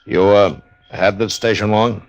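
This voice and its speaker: A man acting a steady, probing American frontier marshal in an old-time radio western, measured and understated. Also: lowish voice, no echo, dull audio, natural voice. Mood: casual, probing. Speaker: lead actor and narrator in a radio western.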